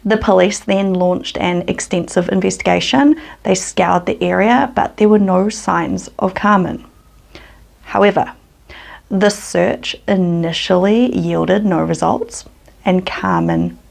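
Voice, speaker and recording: A woman's voice: lowish voice, expressive, takes it slowly, gravelly, a little mellow. A woman from New Zealand with a New Zealand accent, hosting her true crime channel and telling the story in a casual, earnest way.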